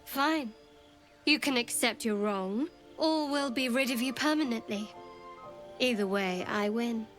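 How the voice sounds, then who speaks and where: Video game role, for a woman: young female dark-goddess cleric, guarded and sardonic, higher-pitched, dry cutting delivery over soft music. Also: unhurried, natural voice. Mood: cold, threatening. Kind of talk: dramatic video game dialogue.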